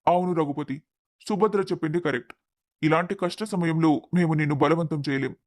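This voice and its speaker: A man's voice: on the high side, brisk, a little mellow, lightly acted. A man playing a composed, concerned middle-aged brother-in-law in a family story. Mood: concerned, placating. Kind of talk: story acting.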